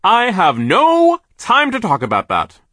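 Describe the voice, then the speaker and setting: A man voicing a domineering, stingy mill boss for an audiobook, blustering and pompous, with drawn-out, emphatic words. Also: high-pitched, huge pitch swings, no room sound, studio quality, pitched above the performer's own voice, exaggerated voice. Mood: blustering, self-satisfied. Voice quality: forceful, drawn-out emphatic delivery. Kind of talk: audiobook character voice.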